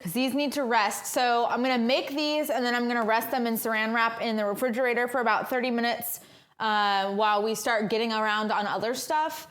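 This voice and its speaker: A woman's voice: fairly high pitch, fairly quick, fairly bright tone. A woman hosting a casual cooking livestream from her home kitchen, chatting along with lots of ums and uhs. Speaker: cooking stream host.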